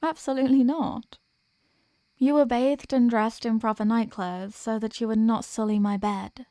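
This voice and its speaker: A woman playing a dominant, condescending elder vampire in an ASMR roleplay, with teasing, formal, deliberate diction. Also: a little high, steady tone, warm tone, dry recording, clean studio sound, close to an everyday voice. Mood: stern, haughty. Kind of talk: ASMR roleplay audio drama.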